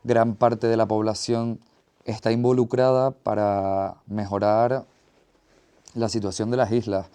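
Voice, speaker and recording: Canarian guy doing a thoughtful solo podcast, smooth mellow voice, stops mid phrase to think. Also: fairly low pitch, level tone, a little slow. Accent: Canarian Spanish. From Tenerife, Canary Islands, Spain. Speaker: podcast host. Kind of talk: reflective solo podcast monologue.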